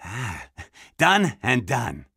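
A man's voice: medium pitch, wildly swooping pitch, extremely slow, creaky, exaggerated voice. A man playing an awkward, bashful, bookish nerd in a motion comic, with hesitant, stammering speech. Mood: hesitant, sly. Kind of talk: dramatic motion comic dialogue. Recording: music behind the voice.

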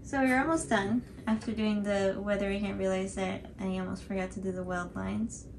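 A woman's voice: mid-range voice, fairly even intonation, moderate pace. A woman, chatting casually to camera in a hesitant, self-deprecating way. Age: 20s. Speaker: cosplay channel host. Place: small room indoors on a couch. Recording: clearly audible background noise.

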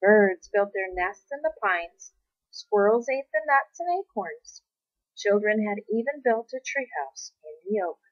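A woman reading a children's story aloud as expressive scripted narration. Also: mid-range voice, a little slow, dry recording, dull audio. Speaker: storybook reader.

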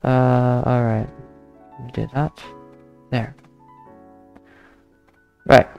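A young man plays a game at his desk and talks through it slowly, pausing often and counting out loud. His voice is mid-pitched with a gravelly, creaky texture. Soft background music plays faintly underneath. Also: flat delivery, highs cut off. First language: not English. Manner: hesitant, thinking aloud, counting under his breath, nervous laughs, easily spooked. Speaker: gaming channel host. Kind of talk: casual let's play commentary.

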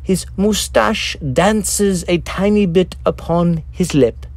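A man narrates a macabre dark comedy with theatrical relish. His pace is slow and deliberate, with a gravelly creak in a fairly high-set voice. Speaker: author reading own story.

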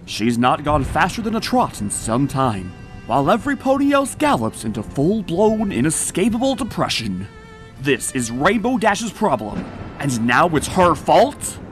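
A man's voice: fairly high pitch, wildly swooping pitch, unhurried, faint music behind. A man voicing an earnest cartoon pony news reporter, grave and overwrought in a mock broadcast style. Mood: grave, probing.

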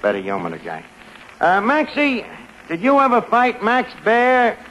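An actor playing a wisecracking, scheming tavern manager with a slangy New York accent and a sardonic manner, in 1940s radio comedy style. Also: male voice, extremely high pitch, wildly swooping pitch, unhurried, fairly bright tone, heavily acted. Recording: noisy vintage radio broadcast recording.

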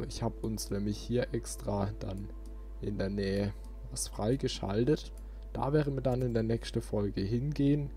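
Dark-voiced man rambling through a let's play. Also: lowish voice. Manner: rambling, thinking aloud. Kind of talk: casual gameplay commentary. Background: game music.

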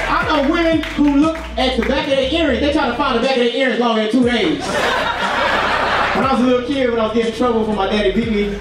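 Young male comedian, punchy shouted delivery, roomy distant club recording. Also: extremely high pitch. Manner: loud, animated, punchy delivery with shouted bits. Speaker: stand-up comedian. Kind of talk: stand-up comedy set. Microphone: handheld mic. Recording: echoey club heard from far off. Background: crowd laughing.

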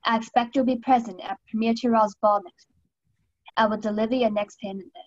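A woman's voice: a little high, fairly even intonation, fairly quick, darkish tone, dry recording, dull audio, natural voice. A young woman playing a nervous, evasive suspect in an amateur radio play. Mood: reading aloud. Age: young adult.

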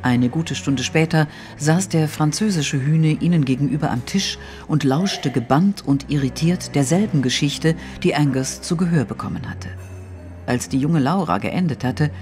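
A man narrates an audio drama from a script. His voice is fairly high for a man, and music plays quietly underneath. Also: moderate pace.

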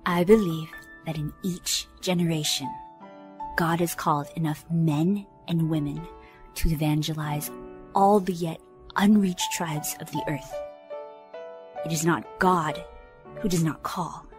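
A woman's voice: low-pitched, fairly even intonation, measured pace, a little mellow, faint music behind. A woman giving a solemn scripted reading of a quotation.

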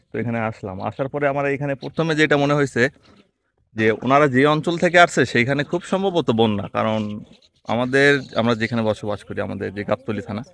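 A man's voice: medium pitch, a bit bright. A man, vlogging outdoors in a rural field, chatting and asking short questions. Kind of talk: casual vlog narration and interview.

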